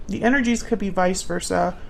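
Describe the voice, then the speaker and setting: A woman's voice: medium pitch, a little fast, creaky. A woman doing a casual, intuitive tarot reading, thinking aloud as she talks directly to the listener. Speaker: tarot reader, channel host.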